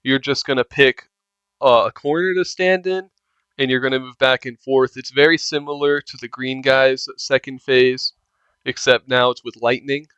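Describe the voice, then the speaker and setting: A man's voice: medium pitch, steady tone, normal speed, a bit bright, clean tone, no room sound, muffled recording. A man giving casual walkthrough commentary for a video game. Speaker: game guide narrator.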